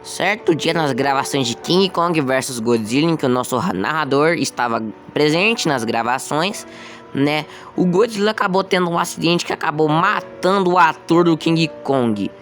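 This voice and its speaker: A man's voice: on the high side, expressive, normal speed, a bit bright. A young man with a Brazilian accent hosting a casual explainer, telling a story informally and talking straight to viewers. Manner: informal, conversational, addresses viewers directly. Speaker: video host. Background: music.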